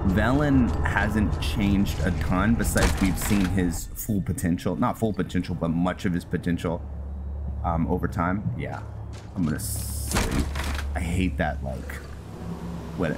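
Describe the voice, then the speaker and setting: A man doing casual video game commentary in a halting way, thinking aloud as he plays. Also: deep voice, heavy background noise. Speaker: host of a video game roleplay series. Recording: music behind the voice.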